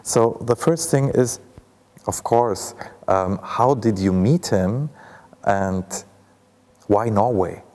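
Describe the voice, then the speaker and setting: A man's voice: lowish voice, takes it slowly, pauses often, mellow. A man in his forties with a German accent, hosting a festival film talk and asking thoughtful, hesitant questions. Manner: thoughtful, hesitant with many fillers. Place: ornate hall, indoors.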